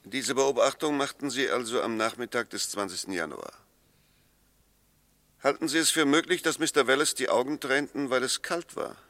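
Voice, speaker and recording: An actor playing a persuasive defence lawyer in a radio play, speaking precisely and argumentatively. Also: male voice, mid-range voice, normal speed, fairly bright tone, dry recording, natural voice. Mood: probing. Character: persuasive male defence lawyer, probing and sure. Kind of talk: German radio drama acting.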